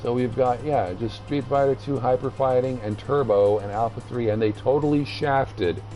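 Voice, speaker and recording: A man streaming a video game with casual, rambling commentary, haltingly thinking aloud as he reads the menus. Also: medium pitch, steady tone, warm tone, faint music behind, low-quality recording.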